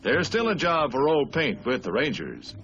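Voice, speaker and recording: A man's voice: mid-range voice, sing-song, normal speed. An American man reading scripted 1950s newsreel narration in an emphatic, grandiose manner. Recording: dull vintage 1950s newsreel soundtrack. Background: orchestral newsreel music.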